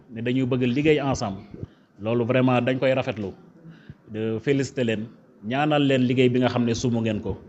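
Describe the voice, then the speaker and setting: Senegalese official, dull lo-fi sound. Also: male voice, mid-range voice, a little mellow. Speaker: government official, prefect. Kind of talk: formal official ceremony speech. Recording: dull, lo-fi event recording.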